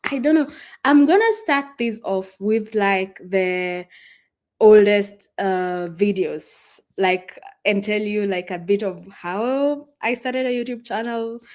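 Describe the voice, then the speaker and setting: A young Kenyan woman with a Kenyan accent, whose first language is Swahili, chatting casually and rambling with fillers and soft laughs as she hosts a travel livestream from a friend's house. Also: mid-range voice. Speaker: travel vlogger hosting a livestream. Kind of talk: casual livestream chat with viewers.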